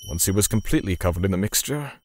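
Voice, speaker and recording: A man playing a cold, righteous torturer for hire who narrates a manga dub in a measured, grim way. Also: low-pitched, animated, a little mellow, slight background noise. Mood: clinical.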